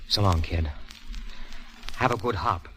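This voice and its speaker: A man's voice: low-pitched, measured pace, fairly bright tone, slight background noise, rough recording. A man playing a cocky, reckless veteran pilot in a vintage aviation drama, talking in clipped, commanding slang. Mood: guarded, resigned.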